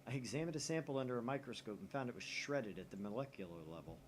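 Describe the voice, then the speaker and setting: A man's voice: mid-range voice, animated, quick pace, noisy background, lightly acted. A man reading the dialogue of bickering young male visual novel characters in a dry, argumentative manner. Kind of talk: dialogue read aloud.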